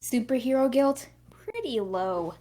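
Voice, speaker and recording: A woman's voice: a little high, expressive, measured pace, mellow. A woman voicing an exasperated, sarcastic young girl who scolds, in an amateur cartoon table read. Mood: scolding. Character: exasperated, sharp-tongued young girl cousin.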